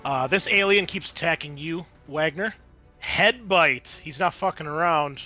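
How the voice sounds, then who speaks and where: Bright-voiced man running a tabletop game. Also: a little high, unhurried, lo-fi sound, soft background music. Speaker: game master. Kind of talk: narrating and refereeing a tabletop roleplaying game.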